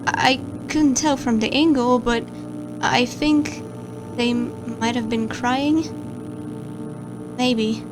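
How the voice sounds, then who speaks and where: A woman's voice: on the high side, rough recording. A woman playing an anxious, guilt-ridden watcher of camera feeds, who stammers and hesitates as she narrates a horror log over music.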